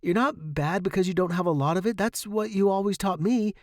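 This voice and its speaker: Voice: slow, darkish tone, no room sound, studio quality. A storyteller voicing a devoted young male music student, earnest, emotional and imploring. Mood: adoring. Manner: earnest, imploring, high and distraught.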